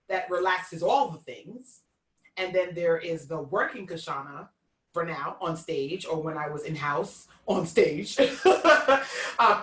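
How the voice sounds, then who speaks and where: A woman's voice: lowish voice, reverberant, far from the mic. A woman host from New York with a Queens accent, talking candidly and animatedly on a video call. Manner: lively, candid, animated storyteller. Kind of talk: casual conversation on a video call.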